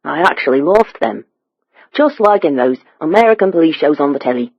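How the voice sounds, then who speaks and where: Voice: medium pitch, expressive, brisk, husky, no echo, lo-fi sound. Narrator putting on a chatty british cabbie guy, chirpy, pleased with himself, audiobook, dry close sound. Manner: chirpy, conversational, curious. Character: chatty bearded British male cab driver, pleased with himself.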